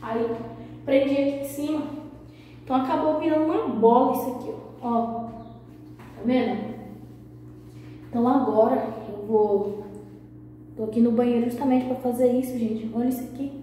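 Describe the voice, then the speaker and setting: A woman in her thirties, hoarse and nasal from a sore throat, talking softly to a phone camera for her vlog in a small bathroom. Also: fairly even intonation, moderate pace, pauses often, a little hiss or hum. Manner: speaking softly, low voice. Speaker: vlogger. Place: small tiled bathroom indoors. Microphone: phone camera at arm's length. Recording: muffled echoey bathroom.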